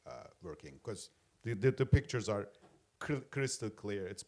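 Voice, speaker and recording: A man's voice: lowish voice, moderate pace. A man from Turkey, a senior lecturer asking a long audience question with many fillers and restarts.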